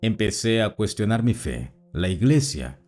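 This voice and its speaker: Low-voiced man narrating with lively pitch. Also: unhurried. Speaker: narrator reading listener stories. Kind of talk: scripted narration of written accounts. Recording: voice-over with faint background music.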